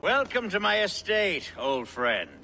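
An actor playing a genial, ingratiating wealthy Saxon lord in a video game, with an effusive, flowery manner. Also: male voice, sits high, very animated, measured pace, vocal fry, sharp tone, slight background noise, full character voice. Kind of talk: video game drama acting.

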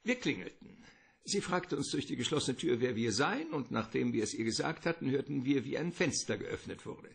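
An actor playing a wordy, courteous, calculating middle-aged crime boss in a radio detective drama, with theatrical politeness. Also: male voice, medium pitch, very animated, moderate pace, vocal fry, slightly rough, exaggerated voice. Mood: smug storytelling.

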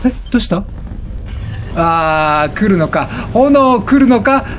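A man giving excited game commentary, exclaiming and repeating words rapidly as he reacts. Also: high voice, background noise. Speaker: let's play gamer. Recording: game music behind the voice. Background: video game music.

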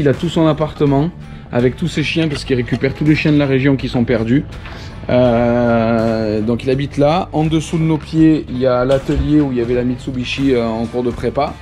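A man in his thirties from France, speaking with a French accent, excitedly narrating a tour with a handheld action camera, laughing often. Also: mid-range voice. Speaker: vlogger touring a car workshop. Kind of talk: casual handheld vlog narration. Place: house, workshop and ranch, indoors and out. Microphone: action camera held at arm's length.

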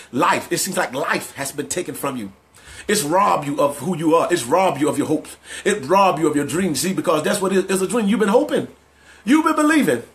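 Male preacher, high-pitched voice, motivational sermon delivery built on emphatic repetition. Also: a bit bright.

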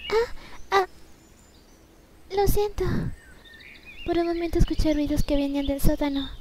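A woman's voice: high voice, unhurried, a little hiss or hum. A woman playing a polite, deferential young maid in an anime fandub, with a Latin American Spanish accent. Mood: dutiful. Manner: formal, deferential. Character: dutiful, polite young maid serving a mansion's lady.

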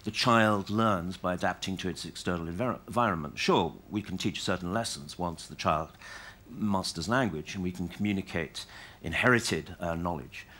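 A middle-aged man on a conference panel, explaining his point in a measured way. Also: low voice, bright, forceful tone, slight background noise. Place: conference hall stage.

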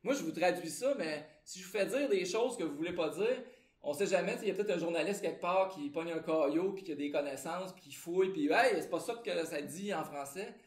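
A man's voice: high-pitched, animated, fairly quick, roomy sound. A man with a Québécois French accent, a translator giving an informal conference talk in a loose, conversational way with many fillers.

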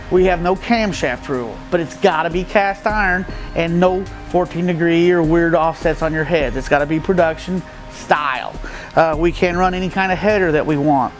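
A middle-aged man from the Midwest with a fairly high voice talks in a plain, matter-of-fact way, pausing on fillers as he explains his race car. He is picked up by a camera a few feet away in an open metal shed, with faint music running quietly underneath. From Midwestern United States. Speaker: stock car racer. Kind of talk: casual walkaround explanation. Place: open metal shed with gravel floor, outdoors nearby.

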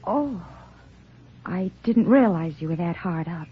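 An actress playing an earnest, guarded young engaged daughter in a 1940s radio drama, on a tinny old recording. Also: female voice, lowish voice, lively intonation, measured pace, husky, heavily acted.

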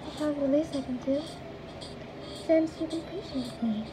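A shy teenage bookworm who keeps apologizing, read out by a woman with a high voice. She hesitates and stammers through her lines. A noisy home mic hisses underneath. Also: moderate pace, natural voice. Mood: timid. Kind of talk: amateur reading of a visual novel.

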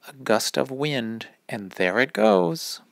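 A man reading a rhyming children's picture book aloud in a deliberate, instructive manner. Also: medium pitch, very animated, vocal fry, dry recording. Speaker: read-aloud reader.

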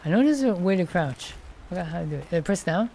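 A woman's voice: lowish voice, expressive, fast, mellow, a little hiss or hum. A woman streaming a VR game from home, chatting casually as she plays, full of hesitant restarts and thinking aloud. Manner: rambling, hesitant, lots of restarts. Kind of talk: casual live-stream commentary. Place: room at home, seated in a VR headset.

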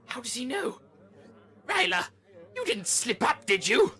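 An actor playing a flustered, officious, easily impressed assistant scientist, fussy and stammering, in an audio drama. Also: male voice, very high-pitched, big pitch swings, a little slow, pushed and bright, exaggerated voice. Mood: nervous.

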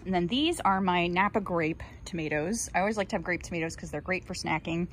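Low-voiced chatty woman outdoors. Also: fairly quick. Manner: chatty, cheerful asides. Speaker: gardening vlogger. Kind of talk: casual garden tour narration. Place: outdoors in a suburban garden. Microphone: handheld phone. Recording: outdoor ambient noise.

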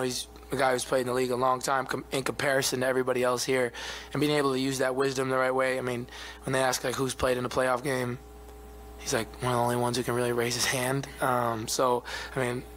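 A man's voice: mid-range voice, slight background noise, low-quality recording. A man in his twenties, a basketball player, answering questions at a postgame press conference with many fillers, speaking into a table mic in an arena press room. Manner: soft-spoken, even, many fillers. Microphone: table mic in front of him.

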